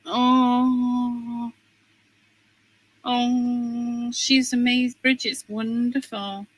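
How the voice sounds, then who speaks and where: A woman co-hosts a relaxed craft chat, full of gentle admiring remarks. She speaks slowly, and her voice sits a little high. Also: breathy edge, rough recording. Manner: warm, admiring, lots of "oh" and "mm". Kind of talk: casual chat.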